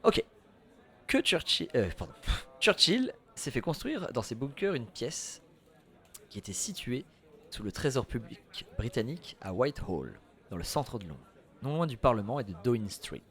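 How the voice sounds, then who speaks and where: A man's voice: medium pitch, sing-song, normal speed, a bit bright. A man co-hosting a casual radio-style trivia chat, playful and laughing often. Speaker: co-host. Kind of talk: casual banter and trivia quiz.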